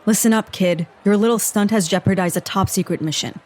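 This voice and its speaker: A woman's voice: mid-range voice, brisk, a little mellow, lightly acted. A woman acting as a stern, no-nonsense secret agent in a comedic audio drama, with a dry, commanding manner. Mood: threatening.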